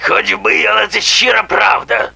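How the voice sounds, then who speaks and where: A man voicing a boastful pirate fox animatronic in a fan-dubbed horror cartoon, gravelly and theatrical, drawing out his words. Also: sits high, very animated, normal speed, sharp tone, full character voice. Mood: boastful, showy. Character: boisterous male pirate fox animatronic showman, theatrical braggart.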